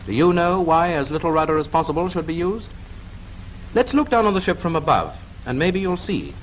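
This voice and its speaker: A man's voice: fairly high pitch, very animated, fairly quick. A man with a British accent narrating a 1940s instructional film, addressing the viewer conversationally with questions. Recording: noisy 1940s film soundtrack.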